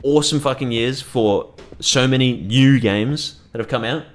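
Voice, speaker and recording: Brief: male gaming streamer, profane rambling commentary, mid pitch. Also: moderate pace. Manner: ranting, swearing, laughs often. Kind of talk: gameplay commentary.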